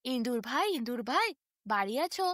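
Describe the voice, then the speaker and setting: Storybook tailorbird, played by a woman: tiny, quick-tempered, wheedling in bright sing-song. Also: a little high, big pitch swings, no echo, studio quality, pitched below the performer's own voice. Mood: sing-song calling. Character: small indignant pleading tailorbird, quick to anger.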